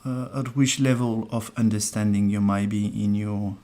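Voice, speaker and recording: A man hosting a casual live numerology stream, soft-spoken and hesitant as he thinks aloud. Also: fairly low pitch, steady tone, moderate pace. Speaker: numerologist host.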